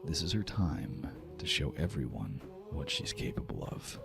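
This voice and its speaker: A man's voice: a bass voice, big pitch swings, moderate pace, creaky. A man narrating a fantasy tabletop game dramatically as game master. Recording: music behind the voice.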